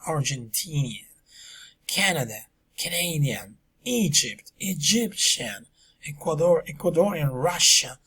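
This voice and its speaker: Male English teacher, husky tone, unhurried delivery. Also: a little high, fairly bright tone. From Peru. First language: Spanish. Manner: slow, drawn-out words for teaching. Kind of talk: recorded online English lesson.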